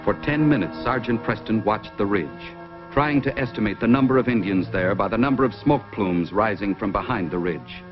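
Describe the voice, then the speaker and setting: A man with a fairly low voice narrates a radio adventure with measured drama. Music plays clearly behind him on a narrow, vintage recording. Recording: old band-limited radio recording, music.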